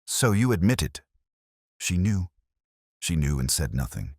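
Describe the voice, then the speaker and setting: A man's voice: deep voice, very animated, a little slow, darkish tone, husky, dry recording, clean studio sound, heavily acted. A man voicing a sneering, mocking male schemer who shifts blame, in an audiobook mystery.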